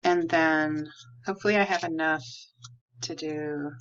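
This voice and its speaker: A woman's voice: deep voice, fairly even intonation, measured pace. A woman art teacher from the United States giving simple, step-by-step craft instructions while demonstrating at a table. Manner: simple, clear step-by-step phrasing. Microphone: recording a tabletop demonstration under an overhead camera. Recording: slightly dull, lo-fi audio.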